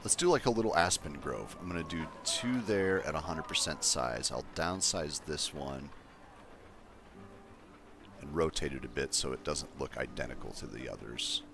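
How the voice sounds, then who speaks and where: A man with a deep voice casually chatting to his viewers as he builds in a game livestream, thinking aloud in an easygoing way. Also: normal speed, creaky, background noise. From Colorado, USA. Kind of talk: casual gameplay livestream chat.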